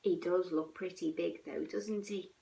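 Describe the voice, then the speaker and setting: Woman as a soft, slow, gentle mother bear. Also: on the low side, fairly even intonation, creaky, pitched below the performer's own voice. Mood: soft, patient. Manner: soft, soothing, patient. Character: gentle, reassuring adult female mother bear.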